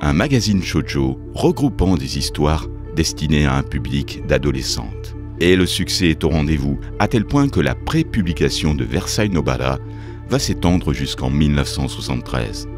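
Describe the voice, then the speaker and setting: Very deep-voiced French man narrating. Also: big pitch swings, moderate pace. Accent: French from France. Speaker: documentary narrator. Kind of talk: scripted narration. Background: music behind the voice-over.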